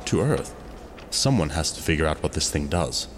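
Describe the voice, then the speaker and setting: Man as deep-voiced, solemn archangel warrior. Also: sing-song, moderate pace, noisy background, exaggerated voice. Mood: wry. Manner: solemn, commanding. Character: stern, proud male archangel warrior. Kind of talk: audio drama acting.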